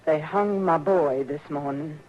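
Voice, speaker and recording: A woman with a rural American accent playing a bitter, grief-stricken frontier mother in a radio western, stark and halting. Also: sits low, darkish tone. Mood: bitter, resolved. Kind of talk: 1950s radio western acting. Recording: muffled, noisy old radio recording.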